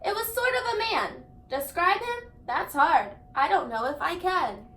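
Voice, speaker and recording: High, animated woman reading a storybook. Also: measured pace. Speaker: teacher reading aloud. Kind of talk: expressive children's storybook reading. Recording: echoey room.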